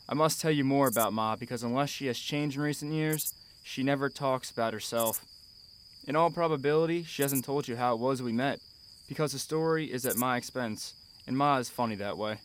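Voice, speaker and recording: A man's voice: mid-range voice, a little hiss or hum, natural voice. An actor playing a wistful, proud middle-aged father in a radio drama, articulate, wry and formal. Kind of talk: radio drama acting, letter narration.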